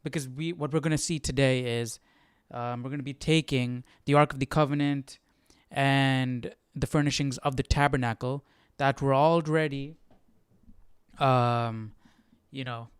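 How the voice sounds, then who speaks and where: A man's voice: medium pitch, steady tone, measured pace, clear, resonant tone, no room sound, clean studio sound. A man leading a casual unscripted Bible study as host, thinking aloud hesitantly and often checking with a "right?". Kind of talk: casual unscripted teaching commentary.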